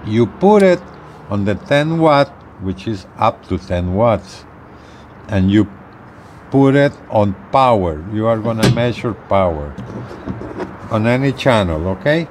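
A middle-aged man giving an unscripted how-to demonstration in halting, short phrases with repeats, recorded outdoors on a porch. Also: on the low side, animated, slight background noise. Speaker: gadget reviewer demonstrating equipment.

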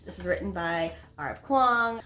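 A woman's voice: lowish voice, normal speed, pushed and bright. A woman in her thirties talking casually and enthusiastically as a book vlog co-host, with playful emphasis, in a room at home. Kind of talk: casual book review chat. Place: indoor room at home with bookshelves.

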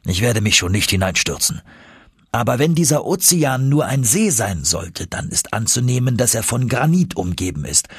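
Man voicing a husky, emphatic professor uncle. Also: medium pitch, very animated, normal speed, no echo, studio quality, full character voice. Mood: determined. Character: confident, eager older professor uncle, explorer.

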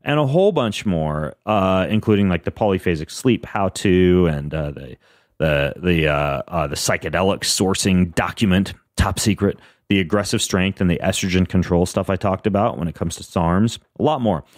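A man hosting a podcast in casual chat, rambling through lists with a fairly even, monotone delivery. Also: low-pitched, moderate pace, no room sound, studio quality. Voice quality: self-described monotone. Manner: rambling, lists things off, frequent fillers and restarts. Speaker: podcast host.

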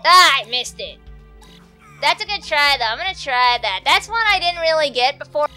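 A high-voiced woman rambles through casual gameplay commentary, giggling and talking to herself. Her tone is bright and a little stuffy, as if congested. Faint game music plays underneath. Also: lively intonation, normal speed. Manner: rambling, self-chatting, giggly. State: congested from allergies. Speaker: let's play host.

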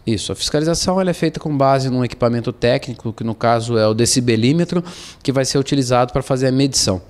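A man in his forties with a Brazilian accent, answering an interview as an official, formal and halting, indoors into a handheld microphone. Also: medium pitch. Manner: formal, halting with hesitant pauses. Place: indoors against a plain wall. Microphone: handheld interview microphone held to him.

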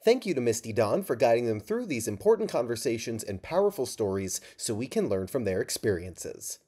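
A man's voice: mid-range voice, big pitch swings, brisk, warm tone, breathy edge. A man reading a scripted podcast introduction as the announcer.

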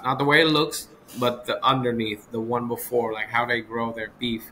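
A man's voice: medium pitch, steady tone, normal speed, bright, forceful tone, low-quality recording. A man in his thirties from Vietnam, a chef talking casually as an interview guest, often checking in with the listener. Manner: repetitive, often ends points with "right?". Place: small room indoors, on a video call. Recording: video call with clear background noise.

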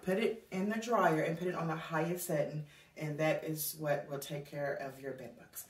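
A woman's voice: low-pitched, fairly even intonation, moderate pace. A woman, chatting casually to camera in a home vlog and calling her viewers y'all. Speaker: home vlogger. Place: small indoor hallway, later a child's bedroom. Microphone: camera on a stand a few feet away. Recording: echoey room, voice from a distance.

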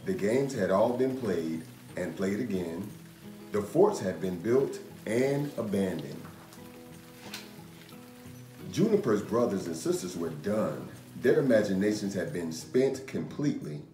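A man in his thirties reading a children's picture book aloud in an expressive, measured storytelling manner. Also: deep voice. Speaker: storytime reader, host. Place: indoor room. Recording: roomy echo. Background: music.

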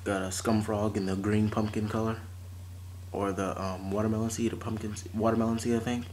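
A young man with a fairly low voice talks in an offhand, halting way, like a casual unboxing vlog. The recording is very noisy, made at home on a camera mic. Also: level tone. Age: teens to young adult. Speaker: vlogger showing fishing tackle. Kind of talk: casual unscripted unboxing chat. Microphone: camera or phone mic at arm's length. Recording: noisy amateur recording with clicks and rustling.

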